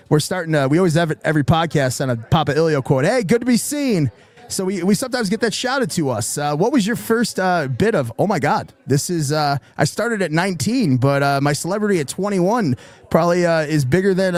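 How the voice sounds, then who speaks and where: A man's voice: on the high side, darkish tone. A man hosting a casual podcast, asking long rambling questions full of restarts. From Western New York. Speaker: podcast host.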